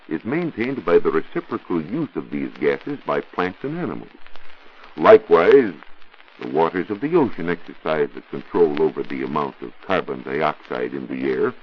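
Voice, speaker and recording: A man with an American accent explaining science on a scripted 1940s radio show, in a didactic, deliberate and emphatic way. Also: rumbling low, husky. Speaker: science reporter answering listener questions. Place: radio studio. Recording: muffled, noisy 1940s radio recording.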